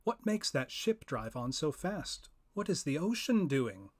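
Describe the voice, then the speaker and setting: A man's voice: on the high side, very animated, measured pace. A man voicing an urgent, questioning spirit of the air in a dramatic poetry reading, insistent and archaic in phrasing.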